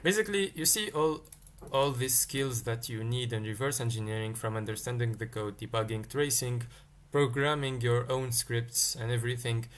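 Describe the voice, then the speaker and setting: A man's voice: medium pitch, moderate pace, hardly any pauses. A man from Tunisia, teaching a live-streamed coding lesson. He thinks aloud and answers chat questions as they come in. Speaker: livestream tech teacher.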